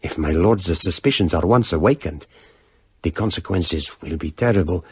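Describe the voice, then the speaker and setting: Wary courier, low husky voice, measured, confiding, gossipy, letter narration, radio play. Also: male voice, no room sound, studio quality. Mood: confiding, uneasy.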